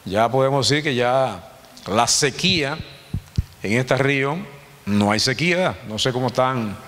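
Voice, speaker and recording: A Dominican man in his fifties teaches a Sunday congregation in a loose, conversational way, tossing in jokes and checking in with his listeners. His voice sits at an ordinary middle pitch. It rings out with a long reverb, as in a large hall. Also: animated, normal speed, a bit bright. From Dominican Republic. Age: 50s. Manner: conversational, joking, asks the congregation "¿verdad?". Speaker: church teacher. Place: church hall.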